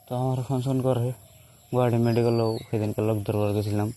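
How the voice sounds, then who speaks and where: A man's voice: mid-range voice, little pitch movement, fast talker, dark tone, slight background noise. A young man speaking a rural Assam Bengali dialect, casually telling personal stories in a rambling way, circling back to repeat and rephrase himself. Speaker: storyteller recounting his own life.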